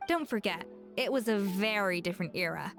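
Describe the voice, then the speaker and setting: A woman's voice: medium pitch, animated, a little fast, a bit bright, faint music behind. A woman playing a confident, poised young female chef in a video game, articulate and self-assured. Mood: explanatory. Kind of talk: animated game voice acting.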